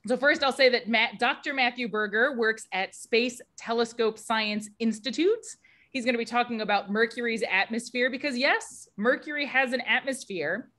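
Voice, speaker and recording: A woman's voice: fairly high pitch, normal speed, pushed and bright. A woman hosting a science webinar over a video call, chatty, upbeat and candid. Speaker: webinar host.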